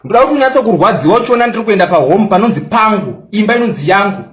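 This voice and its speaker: A young Zimbabwean man with a very high voice, taunting and boasting as he fires back at a critic. He switches into English often. The sound rings around an echoey room. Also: expressive, racing, a little hiss or hum. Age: 20s. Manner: mixes English into local-language talk. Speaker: social media personality. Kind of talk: angry response rant.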